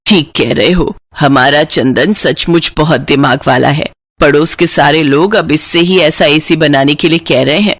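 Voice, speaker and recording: A woman voicing a caring, practical poor village mother in an animated moral story, with a concerned, motherly manner. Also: sits low, normal speed, few pauses, dry recording, clean studio sound. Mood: proud.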